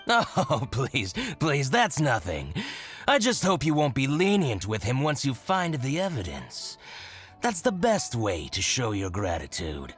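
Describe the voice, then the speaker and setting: A man's voice: mid-range voice, huge pitch swings, unhurried, a bit bright, soft background music. An actor playing a smug, gleeful, scheming businessman with a theatrical, self-satisfied delivery in a video game. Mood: gleeful, flattering. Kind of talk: video game character acting.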